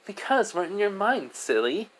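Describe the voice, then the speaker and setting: A man's voice: high-pitched, sing-song, normal speed, fairly bright tone, pitched above the performer's own voice. A man voicing a cheerful, protective little girl spirit in an amateur story, with a childlike, bubbly, pleading manner. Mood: cheerful, friendly. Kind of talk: amateur character voice in improvised storytelling. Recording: noisy amateur recording.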